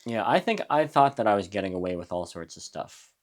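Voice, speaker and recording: A young adult man from New Mexico, a musician guest in a casual interview, talking in a reflective, halting way with frequent restarts. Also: fairly low pitch, sing-song. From USA (New Mexico).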